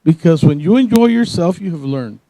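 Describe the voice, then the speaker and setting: A man from Panama opens a workshop in a mellow, slightly raised voice. He talks in halting phrases, and the recording is dry and close. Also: fairly high pitch, animated, no echo, low-quality recording. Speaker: workshop facilitator. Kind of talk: opening a workshop session.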